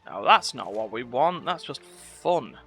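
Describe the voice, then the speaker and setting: A man's voice: medium pitch, sing-song, unhurried, bright, forceful tone, breathy edge, faint music behind. A man hosting a casual live game stream, chatty and wry, poking fun at himself. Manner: self-deprecating, wry, chatty. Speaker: video game streamer, host. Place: indoors at home, live stream, game sound behind.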